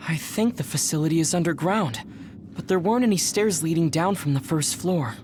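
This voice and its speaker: Analytical low voiced squadmate, anime game, matter of fact, slight background noise. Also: female voice, lively intonation, brisk, darkish tone. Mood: thoughtful.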